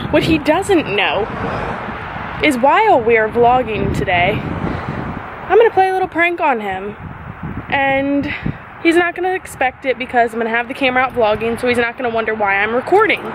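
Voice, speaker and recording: Bubbly young woman vlogging in a car. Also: high voice. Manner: bubbly, giggly, chatty. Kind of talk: casual chat. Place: inside a car. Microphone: handheld camera. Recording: loud road noise in a car. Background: car and traffic noise.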